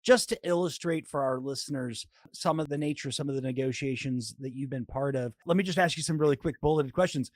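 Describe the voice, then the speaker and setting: A man's voice: medium pitch, a little fast, hardly any pauses, dry recording, studio quality. A man hosting a podcast, asking questions in a conversational interviewing style.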